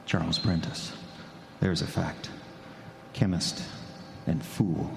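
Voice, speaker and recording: A man acting a haunted chemist in an old-time radio play, low-pitched and brooding. He speaks in halting, repeated thoughts full of dread, in a long hall reverb. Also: level tone, gravelly, heavily acted. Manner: halting, repetitive interior monologue. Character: guilt-ridden male chemist brooding in dread. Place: stage before a live audience. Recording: echoey live hall, some noise.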